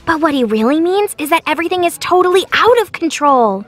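A woman voicing an excitable, chatty young giant schoolgirl in an animated children's story. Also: very high voice, a little fast. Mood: chatty, confiding.

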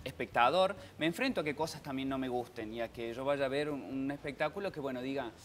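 A man's voice: medium pitch, fast talker, slight background noise. A man in his thirties with a Córdoba Argentine accent, an actor speaking passionately as a guest in a TV studio interview. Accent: Cordobés Argentine Spanish. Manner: passionate, animated with hand gestures.

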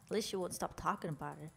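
A woman's voice: lowish voice, gravelly, slight background noise. A young woman from Norway with a Norwegian accent, chatting playfully with viewers while narrating her game character. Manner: chatty, jokey, chatting with chat while playing. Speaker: game streamer.

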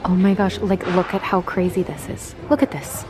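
A young adult woman chatting casually in a vlog, gushing and exclaiming in an excitable way. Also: fairly low pitch, moderate pace, low-quality recording. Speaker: vlogger. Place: indoors, bookstores and an empty room at home. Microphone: handheld camera at arm's length. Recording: noticeable background noise.

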